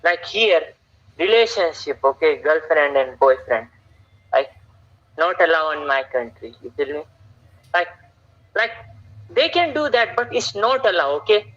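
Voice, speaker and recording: A young man from Saudi Arabia, a guest on a casual live stream, talking haltingly with many repeats and fillers. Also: on the high side, sing-song, a bit bright, dull audio. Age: young adult.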